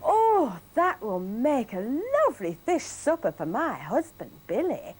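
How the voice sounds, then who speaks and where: A woman voicing a flustered, exasperated fisherwoman, shrill and whiny. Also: very animated, a little slow, a little mellow, slightly rough, full character voice. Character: flustered fisherwoman wife, middle-aged, exasperated.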